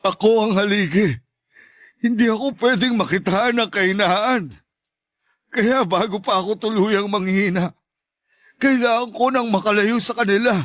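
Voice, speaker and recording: Stern, high-voiced dying father, acted by a man. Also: normal speed, fairly bright tone, dry recording, clean studio sound. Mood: grave, pleading resolve. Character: stern, proud dying father hiding his weakness. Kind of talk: Tagalog radio drama acting.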